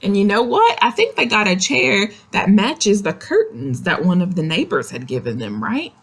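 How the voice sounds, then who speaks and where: A woman reading a picture book aloud to young children, expressive and explanatory, speaking to them directly. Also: medium pitch, normal speed, slightly rough. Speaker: children's story reader. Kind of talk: animated read-aloud of a picture book with asides to young listeners.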